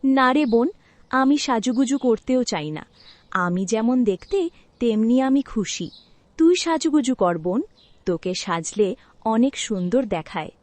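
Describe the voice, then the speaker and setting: A woman voicing a gentle, humble young stepdaughter in a cartoon, patient and soothing in manner. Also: on the high side, lively intonation, normal speed, dry recording, lightly acted. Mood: meek, kind. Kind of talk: Bengali cartoon dialogue.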